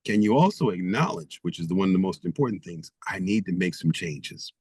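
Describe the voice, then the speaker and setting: A man's voice: deep voice, animated, fairly quick, darkish tone, slightly rough, no room sound, studio quality. A man guest author in an interview, speaking emphatically and stacking rhetorical questions. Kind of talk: interview conversation.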